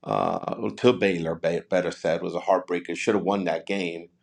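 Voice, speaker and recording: A man from New City, hosting a conversational podcast interview in a discursive, halting way, often repeating phrases as he builds questions. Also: low voice, fairly even intonation, vocal fry. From New York City, United States.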